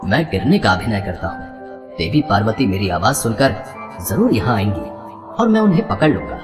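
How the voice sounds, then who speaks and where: A man's voice: on the low side, sing-song, moderate pace, music in the background. A man voicing a good-natured, guileless god and fond husband in a devotional story, with formal, playfully bemused delivery. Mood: scheming, sly. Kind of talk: animated devotional story dialogue.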